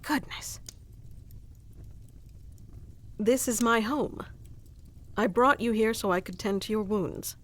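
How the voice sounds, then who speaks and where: A woman's voice: a little high, unhurried. A woman playing a haughty, easily flustered young female elf guarding her forest in a scripted fantasy roleplay, with prickly, old-fashioned wording. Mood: urgent, caring. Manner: prickly tsundere, archaic wording, stammers when flustered.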